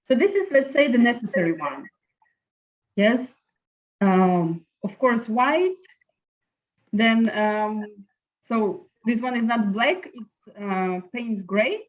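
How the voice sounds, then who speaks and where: A woman teaching a friendly live online painting class, chatting in an unhurried way with many fillers. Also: on the high side, animated. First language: Latvian. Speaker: art teacher. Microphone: laptop video call. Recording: slightly muffled video call audio.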